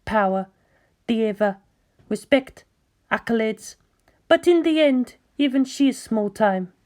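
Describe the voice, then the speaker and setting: A woman plays a devoted young female follower in an audio story. Her voice is high, and she speaks in measured, declarative lines. She is coolly zealous, with bitterness and disdain in her manner. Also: lively intonation, darkish tone. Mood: bitter, disdainful. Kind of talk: scripted audio drama reading.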